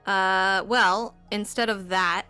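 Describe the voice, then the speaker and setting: A woman's voice: mid-range voice, fairly even intonation, slow, bright, forceful tone, clear, resonant tone. A woman explaining her moves and the rules as a player in a tabletop roleplaying game. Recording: music under the voice.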